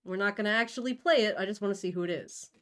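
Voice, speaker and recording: A woman's voice: mid-range voice, racing, fairly bright tone. A woman co-hosting a livestream, talking and reading aloud in a playful, informal way. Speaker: co-host of a book-reading livestream. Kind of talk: casual livestream chat and read-aloud.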